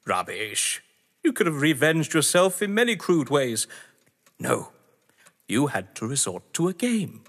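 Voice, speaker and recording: An actor playing a proud, eloquent, solitary gentleman who relishes games, in a theatrical audio drama style. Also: on the high side, huge pitch swings, measured pace, full character voice. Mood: insistent. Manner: grandiloquent, theatrical. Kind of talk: full-cast audio drama acting of a stage play. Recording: long hall reverb.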